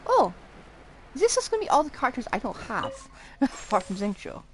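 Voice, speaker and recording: A woman streams a game with a high, animated voice that moves around a lot in pitch. She comments casually and chattily, as if thinking aloud. Clear background noise sits behind her speech. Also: brisk. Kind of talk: casual live gameplay commentary.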